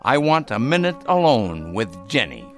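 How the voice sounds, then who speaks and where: An actor playing an exiled alien king hiding as a man, speaking in a soft, wry, measured way in a radio drama. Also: mid-range voice, sing-song, faint music behind, lightly acted. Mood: wistful.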